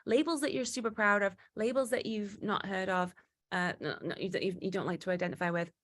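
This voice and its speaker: A young woman from Newcastle, leading an online workshop in a lively way and acting out the voices she quotes. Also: medium pitch, fairly quick, a little hiss or hum. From Newcastle, England. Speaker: workshop facilitator.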